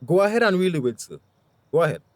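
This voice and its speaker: Pushy mocking tobago man in a radio play, high voice, really animated, dry close sound. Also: fast talker, no echo. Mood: insistent. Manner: confrontational, mocking. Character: brash, suspicious man challenging everyone at a wake. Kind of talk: radio drama acting.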